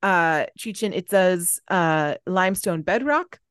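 A woman's voice: fairly low pitch, animated, takes it slowly, clean tone, no room sound, clean studio sound. A woman co-hosting a podcast, reading researched notes in an informal way and chatting casually between them. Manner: informal, stumbles over foreign names. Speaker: podcast co-host.

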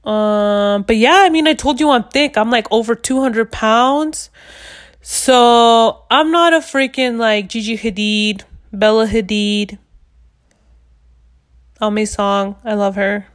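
A woman in her twenties from the United States, hosting a podcast in loud, blunt casual chat and swearing freely. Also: on the high side, slow pace. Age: 20s. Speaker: podcast host.

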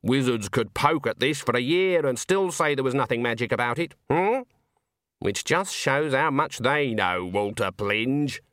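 A shrewd, commanding storybook granny played by a male reader, pitched a little up with lively movement. She talks in a firm, no-nonsense way, recorded dry and close. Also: a little slow, dry recording. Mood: wry. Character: brisk, commanding, shrewd old woman, a granny.

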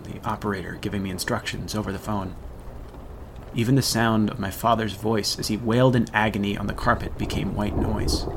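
A man's voice: fairly low pitch, creaky, noisy background. An actor playing a haunted, regretful man who solemnly narrates a horror audio drama about his teenage past. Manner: deliberate, solemn, ominous storytelling.

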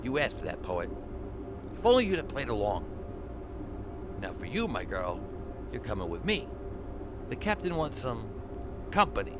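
An actor playing a treacherous, cynical war veteran turned crook in a 1930s crime thriller audio drama, with a sneering gangster way of talking. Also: male voice, mid-range voice, huge pitch swings, moderate pace, pauses often, background noise. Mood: menacing. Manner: sneering, gloating gangster talk.

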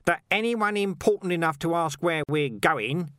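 A man's voice: a little high, lively intonation, normal speed, fairly bright tone, smooth, dry recording, clean studio sound, pitched above the performer's own voice. A man voicing a shifty, cheeky male watchman sidekick in an offhand way.

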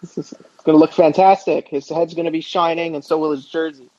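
A man's voice: a little high, little pitch movement, a little fast, darkish tone, dry recording. A man co-hosting a casual sports podcast with slangy, rambling, opinionated banter. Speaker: podcast co-host. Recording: dull lo-fi close podcast audio.

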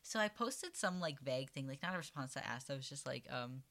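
A man's voice: medium pitch, animated, a little fast, pushed and bright. Wry Minnesotan man, casual chat. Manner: wry, rambling, swears freely. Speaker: podcast guest.

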